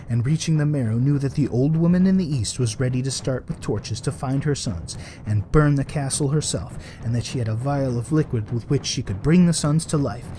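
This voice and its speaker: A man reading a folktale aloud as a storyteller, in expressive storybook narration. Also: medium pitch, slight background noise, rough recording. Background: added music.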